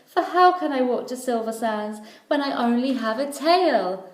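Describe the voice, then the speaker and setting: A woman voicing a sad, gentle storybook mermaid who pleads slowly and with sighs. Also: a little high, lively intonation, roomy sound, voice pushed up, close to an everyday voice. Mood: despairing. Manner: slow, sighing, pleading. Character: sad, gentle captive mermaid longing for home.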